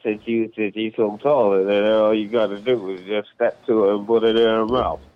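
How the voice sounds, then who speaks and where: A man's voice: lowish voice, flat delivery, normal speed. A man co-hosting a podcast in casual chat, laid-back, laughing often, keeping his replies short. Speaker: podcast co-host. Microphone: call audio over phone-like line. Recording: tinny phone-like line.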